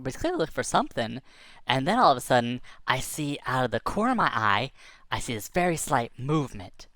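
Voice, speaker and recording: Lively, rambling male storyteller. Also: mid-range voice, dry recording. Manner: casual, rambling, lots of fillers. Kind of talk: telling a tall tale.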